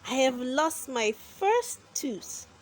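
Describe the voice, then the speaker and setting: Woman acting a young boy, storybook, high-pitched, excited, proud, eager to explain. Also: slow pace, full character voice. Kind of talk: children's storybook character.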